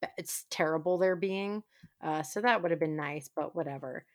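A woman's voice: sits low, normal speed, dry recording, studio quality. A woman co-hosting a casual recap podcast, chatty and wry, with plenty of "like" fillers. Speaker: podcast co-host.

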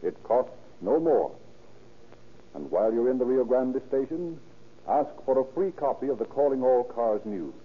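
A man with an American accent, a 1930s radio announcer narrating and reading sponsor copy in a measured, declamatory way. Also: medium pitch, sing-song, husky. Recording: muffled 1930s radio, faint noise.

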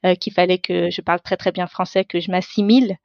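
A woman's voice: on the low side, fairly even intonation, brisk, gravelly, dry recording, muffled recording. A woman whose first language is Tamil, reflecting on her own life in an unscripted interview, searching for her words as she goes. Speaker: interview guest.

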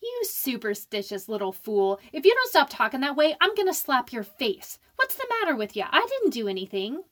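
A woman's voice: high-pitched, wildly swooping pitch, brisk, a bit bright, full character voice. A woman playing a brash, wisecracking young woman in an old-time radio drama, slangy and blunt. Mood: defiant, exasperated.

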